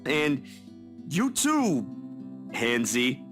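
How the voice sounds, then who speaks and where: An actor playing a friendly, bashful young photographer in a visual novel, speaking casually over music. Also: male voice, mid-range voice, huge pitch swings, extremely slow, fairly bright tone, full character voice.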